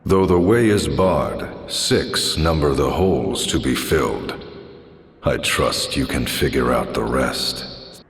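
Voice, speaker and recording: A man voicing an ancient, stern gatekeeper spirit in a video game, solemn, imperious and gravelly, with archaic phrasing. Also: very deep voice, lively intonation, takes it slowly, heavily acted. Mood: solemn, commanding. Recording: long cavernous reverb.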